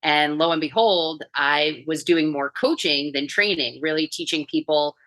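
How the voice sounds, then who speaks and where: A middle-aged woman from New York with a low voice and a bright, forceful edge. She tells her story in a chatty, energetic way as a podcast guest. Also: normal speed. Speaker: guest, coach and author. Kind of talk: casual podcast interview.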